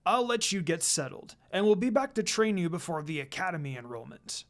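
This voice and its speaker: A reader voicing a kindly old village elder who speaks in a gentle, measured, reassuring way. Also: mid-range voice, big pitch swings.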